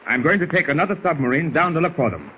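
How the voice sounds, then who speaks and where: An actor playing a brisk, anxious submarine commander in a 1940s radio serial, giving clipped, authoritative orders. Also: male voice, on the high side, sing-song, bright, forceful tone. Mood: concerned, determined. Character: brisk, anxious middle-aged male navy submarine commander. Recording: noisy vintage 1940s radio recording.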